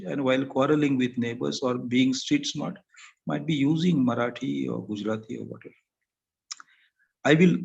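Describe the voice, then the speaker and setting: An older man with an Indian English accent speaks at mid pitch with a gravelly edge. He gives a reflective academic talk, halting and full of pauses. The sound is slightly muffled and lo-fi. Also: darkish tone. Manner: halting, thoughtful, with many pauses and fillers. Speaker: panel speaker.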